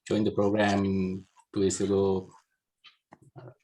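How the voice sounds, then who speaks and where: A man with a Sri Lankan accent speaks briefly and softly in a low, flat voice. It sounds a little distant, as on a video call. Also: slow. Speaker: student.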